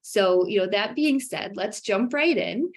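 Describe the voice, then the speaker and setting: A woman's voice: medium pitch, animated, a little slow. Upbeat woman presenter leading an online info session, loose and conversational. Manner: upbeat, many fillers, bright emphatic rises. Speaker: webinar host for a graduate program.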